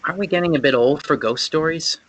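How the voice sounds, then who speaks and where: A man's voice: on the high side, fast, fairly bright tone, low-quality recording. A man acting a teasing, sceptical teenage boy in a casual way at a script table read.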